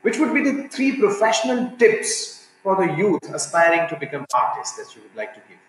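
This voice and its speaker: A man moderates a webinar, asking brief, attentive questions. His intonation is lively. His video call audio sounds very echoey. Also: sits high, fairly quick, slight background noise. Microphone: laptop webcam on a video call.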